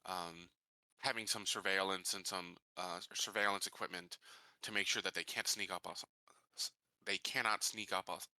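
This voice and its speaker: A man improvising a measured, businesslike colony security leader in a sci-fi tabletop roleplaying game, choosing words deliberately. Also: deep voice, fairly even intonation, bright, forceful tone, no room sound, poor audio. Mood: cautious. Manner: deliberate, measured, pausing to think.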